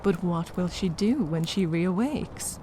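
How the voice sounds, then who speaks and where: A woman's voice: lowish voice, animated, measured pace, warm tone, a little hiss or hum, poor audio. A woman narrating scripted comedy horror, with wry, exasperated asides to the listeners.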